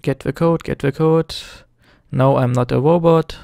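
A man's voice: mid-range voice, fairly even intonation, a little slow, creaky, warm tone, no room sound, studio quality. Nervous young man with a German accent. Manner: nervous, hesitant, many fillers and restarts. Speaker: gaming tutorial host. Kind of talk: screen-recorded tutorial narration.

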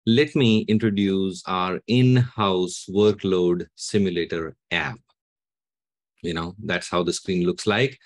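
Deep voiced guy in his 40s talking thru a software demo step by step, dry sound. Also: steady tone, slow, no room sound. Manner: explanatory, step by step. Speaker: demo presenter. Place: indoor room, webcam recording.

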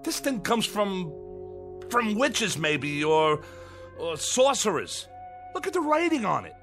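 Edgy, animated small-time crook. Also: male voice, sits high, slow pace, soft background music, heavily acted. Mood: agitated. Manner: gruff, slangy, snappish. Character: edgy, irritable small-time male crook, suspicious and superstitious. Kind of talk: radio drama acting.

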